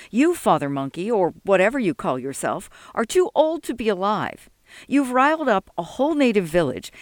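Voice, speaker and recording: A narrator plays a stubborn skeptical man in an audiobook story. His voice is pushed high and swings around, full of exasperation and sarcastic scorn. It is recorded dry and close. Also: no room sound, close to an everyday voice. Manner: indignant, scornful, emphatic.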